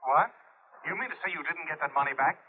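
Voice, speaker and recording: A man's voice: fairly high pitch, expressive, fast talker, slight background noise, natural voice. A man playing a fretful, easily flustered insurance manager in a 1950s radio drama, chiding and exasperated. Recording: tinny phone-like vintage radio sound.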